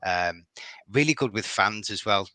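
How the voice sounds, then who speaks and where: Scouse man, middle-aged, guesting on a fan podcast; bright tone, stumbling repeats, dry close recording. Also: on the low side, expressive, a little slow, dry recording. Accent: Liverpool. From Liverpool. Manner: hesitant, repeats words, warm praise. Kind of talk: casual podcast chat.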